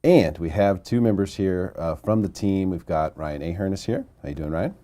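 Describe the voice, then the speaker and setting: Deep-voiced, gushing male host. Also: normal speed, mellow. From Missouri. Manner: enthusiastic, gushing fan. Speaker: interview host. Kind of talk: excited casual interview.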